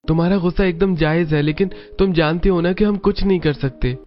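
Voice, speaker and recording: A man voicing a gentle, resigned young husband who works in an office, soft-spoken and placating. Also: high-pitched, brisk, lightly acted. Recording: dull lo-fi with faint music.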